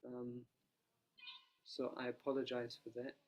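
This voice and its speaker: A quiet, soft-spoken man guides a meditation as a monastic teacher. His delivery is even and nearly flat in pitch, calm and unhurried, and he talks without a dedicated microphone. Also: highs cut off.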